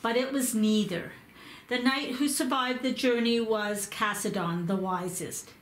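A woman reading a picture book aloud, slow and careful in her storytelling. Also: fairly high pitch, steady tone. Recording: echoey room.

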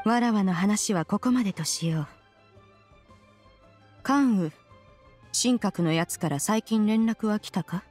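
A woman's voice: medium pitch, a little mellow, breathy edge. A woman voicing a proud, ancient immortal spirit in a Japanese game, aloof yet caring, with haughty, archaic phrasing. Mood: inquisitive, motherly. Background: music.

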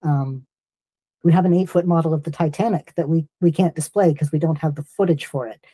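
Middle-aged man, very soft dark tone, dry sound, measured explainer, video call guest. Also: fairly high pitch, steady tone, slightly rough, no room sound. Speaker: guest, lighthouse organisation executive. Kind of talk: explanatory interview talk.

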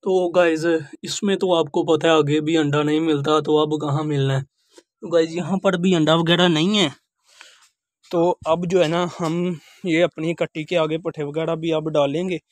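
Chatty young man, high voice, village courtyard. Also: steady tone, normal speed, dry recording. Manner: chatty, repeats filler phrases, addresses viewers as guys. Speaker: village life vlogger. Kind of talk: casual walkthrough narration. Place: outdoor village courtyard with poultry. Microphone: phone held at arm's length.

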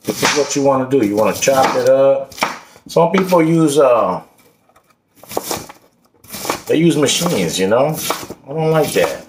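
A man's voice: mid-range voice, expressive, a little slow, pauses often. Boastful joking man in a noisy kitchen. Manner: boastful, joking, streetwise slang. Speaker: home cooking vlogger. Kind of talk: casual cooking vlog with banter. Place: home kitchen, indoors. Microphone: camera at counter level. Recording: noisy kitchen with chopping clatter.

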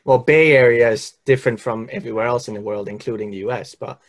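A man's voice: medium pitch, fast. A man hosting an interview show over a video call, introducing the episode and asking short follow-up questions. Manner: brief interested prompts. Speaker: host. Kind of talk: podcast interview. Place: small indoor room. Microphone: webcam on a video call.

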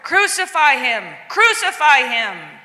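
Woman reading as a piercing, forceful, declamatory accuser in a hall. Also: on the high side, expressive, a little slow, heavily acted. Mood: insistent, accusing. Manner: declamatory, emphatic. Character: Roman governor and clamoring crowd, forceful and insistent. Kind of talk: dramatic scripture reading. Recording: long echoing hall reverb.

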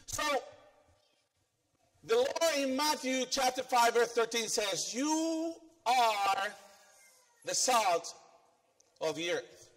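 A middle-aged man with a Filipino accent preaches an animated sermon, pacing the stage and putting questions to the congregation. His voice sits high for a man. It rings out with the long reverb of a church sanctuary, picked up by a stand mic he keeps moving away from. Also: takes it slowly, long pauses. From Philippines.

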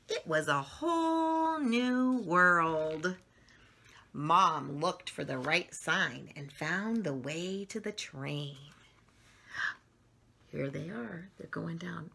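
A middle-aged woman reading a story aloud for children, expressively and with drawn-out emphasis on words. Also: fairly low pitch, vocal fry, pushed and bright. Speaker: children's story channel host reading picture books aloud. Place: small furnished room at home, indoors.

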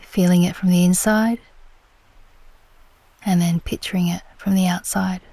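A woman leading a guided meditation in a gentle, soothing, unhurried manner, her soft voice turning breathy at the ends of phrases. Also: on the low side, steady tone, no room sound.

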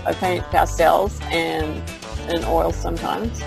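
A woman's voice: fairly low pitch, level tone, slow pace, creaky, music in the background. A woman co-hosting an art podcast, speaking casually. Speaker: podcast co-host, artist. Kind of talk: casual self-introduction.

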